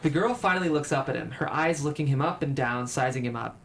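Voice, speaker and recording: Narrator for screenplay table read, scripted stage directions, middle pitch, some background noise. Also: fast, gravelly, a bit bright.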